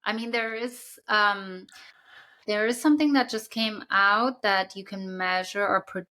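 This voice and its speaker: A young woman with a bright, forward voice talks through ideas in a relaxed podcast chat. She explains at length, pausing often with ums while she finds her words. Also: medium pitch. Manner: thoughtful, explains at length with many fillers. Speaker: podcast co-host. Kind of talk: casual chat.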